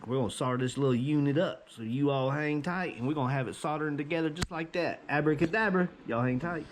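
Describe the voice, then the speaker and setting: Man, slightly high voice, folksy workshop chatter, faint background noise. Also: quick pace. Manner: folksy and chatty, talks to viewers as "y'all". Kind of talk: casual workshop narration.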